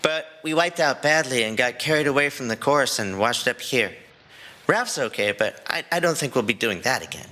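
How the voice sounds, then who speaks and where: Man playing a bright, sheepish boy trainer. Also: medium pitch, expressive, normal speed. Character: boy trainer Sun, sheepish, reassuring, reckless young surfer. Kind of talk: acted fan fiction reading.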